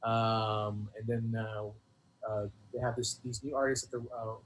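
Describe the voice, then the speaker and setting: A man's voice: on the low side, flat delivery, unhurried, slight background noise, rough recording. A man, a rapper and producer, talking casually as an interview guest in loose, hesitant phrases that often repeat words.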